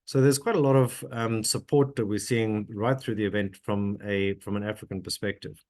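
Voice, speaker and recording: A middle-aged man with a somewhat low voice, hoarse and a little scratchy from a cold. He talks on a video call in a halting way, with plenty of ums, uhs and restarts. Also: steady tone, a little mellow. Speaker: webinar speaker. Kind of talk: presenting at an online webinar.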